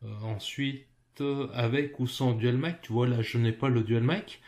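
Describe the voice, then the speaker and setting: A man's voice: medium pitch, steady tone, a little slow, darkish tone. A man with a French accent explains a phone app step by step. He speaks informally, addressing the listener as a friend. His delivery is loose and unpolished, full of hesitations and restarts. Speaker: app tutorial presenter. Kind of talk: casual screen walkthrough.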